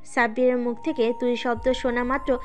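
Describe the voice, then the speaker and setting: High-pitched woman narrating a story. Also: level tone, a little fast, faint music behind.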